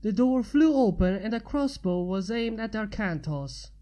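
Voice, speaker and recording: Mellow, unhurried woman reading a story. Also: medium pitch, expressive. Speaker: narrator. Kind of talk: fan fiction story reading.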